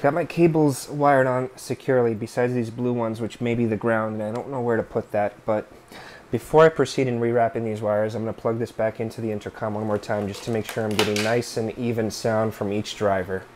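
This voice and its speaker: A man in his thirties with a fairly low voice walks through a home repair step by step, loose and chatty, filling gaps with "uh" and "um." He sounds seated at a workbench in a living room, picked up by a camera mic a short way off. A faint hiss sits under the recording. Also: brisk. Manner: relaxed, thinks aloud with fillers and asides. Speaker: DIY video host. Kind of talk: casual step-by-step tutorial.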